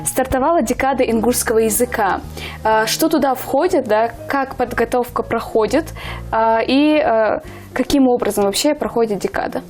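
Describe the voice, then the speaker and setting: A woman's voice: fairly high pitch, normal speed, a little mellow, faint music behind. A woman hosting a TV morning show, greeting viewers and questioning her guest in a friendly, encouraging way. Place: TV studio.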